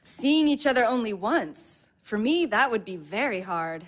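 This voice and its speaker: Woman doing a soft earnest young woman on a date, high and wavy voice, bit odd. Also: sing-song, a little slow, exaggerated voice. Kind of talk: audio play acting.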